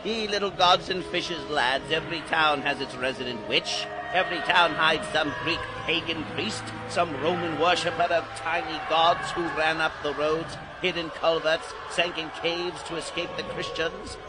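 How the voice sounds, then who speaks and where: Grandiose older male storyteller-guide, played by an actor, raised pitch, exclamatory, mysterious, radio theatre, loud music underneath. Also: fairly even intonation, normal speed, hardly any pauses, very noisy, close to an everyday voice. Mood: declaiming. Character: theatrical, mysterious older man guiding boys through history. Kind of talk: dramatized audiobook, radio theatre acting. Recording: loud music bed behind the voice.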